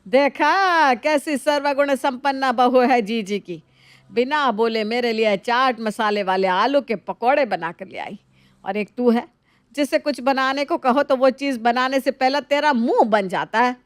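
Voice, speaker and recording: A very high-voiced, older mother-in-law played by a woman from a cartoon moral tale, recorded dry and close. She nags and gushes in an exaggerated, theatrical manner. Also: unhurried, clean tone, dry recording, lightly acted. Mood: scolding. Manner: exaggerated, complaining, effusive. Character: nagging older mother-in-law, gushing over niece, scolding her own. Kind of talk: animated moral story dialogue.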